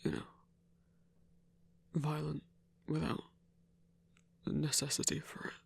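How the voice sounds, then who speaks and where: Guy doing a shy awkward werewolf hunter, breathy n gravelly, stammers a lot, asmr roleplay. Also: fairly high pitch, monotone, full character voice. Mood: hesitant, awkward. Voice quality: breathy, husky. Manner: halting, hesitant, stammering. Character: shy, awkward lone male werewolf hunter, earnest and gentle. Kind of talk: intimate ASMR audio roleplay.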